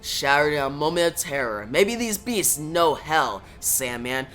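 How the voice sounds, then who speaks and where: A man's voice: a little high, sing-song, unhurried, fairly bright tone, soft background music, voice pushed down. A man voicing a noble sea king hero, solemn and resolved.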